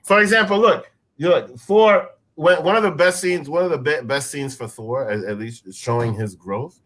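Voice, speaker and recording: A man on a film review panel debating casually and insistently, halting and repeating his phrases as he makes his point. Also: a little high, fairly bright tone.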